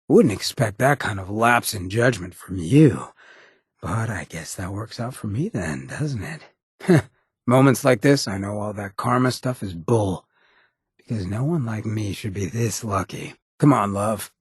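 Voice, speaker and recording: A swaggering American ghoul from a video game, irreverent and cocky. The voice is badly shredded and gravelly, sitting low, and recorded very dry. He talks in a wry, streetwise drawl, like a loyal rogue. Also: animated, a little slow, dry recording, exaggerated voice. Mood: warm, teasing. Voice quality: raspy, gravelly. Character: roguish drug-loving irradiated ghoul, swaggering, irreverent, loyal. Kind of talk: video game companion dialogue.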